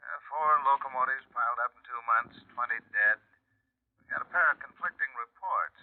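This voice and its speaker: Man playing American office executive, matter-of-fact, mid-pitched, dull telephone sound, old radio drama. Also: moderate pace, close to an everyday voice. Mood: businesslike, concerned. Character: businesslike American male insurance executive on the telephone. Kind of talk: 1950s radio drama acting.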